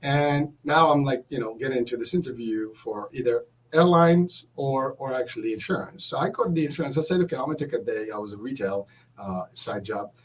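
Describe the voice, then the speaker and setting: A man in his fifties from Morocco, telling his life story in a casual chat, animated and repeating words as he goes. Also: mid-range voice, a little fast, slight background noise.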